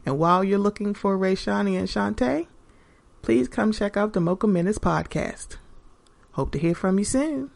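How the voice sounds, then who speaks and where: A woman's voice: mid-range voice, normal speed, creaky, darkish tone. A woman podcast host delivering a scripted promo for her show.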